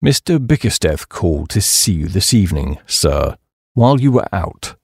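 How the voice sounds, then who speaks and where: A man voicing an unflappable, deferential English valet in a comic audiobook, speaking formally and with measured understatement in a British accent. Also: low-pitched, very animated, gravelly, breathy edge, no room sound, studio quality, voice pushed down, exaggerated voice.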